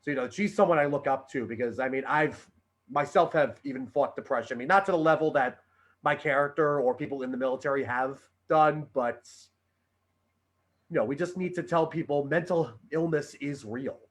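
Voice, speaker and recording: A man's voice: a little high, normal speed. A man talking earnestly and conversationally in a panel discussion, as an actor. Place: virtual video call.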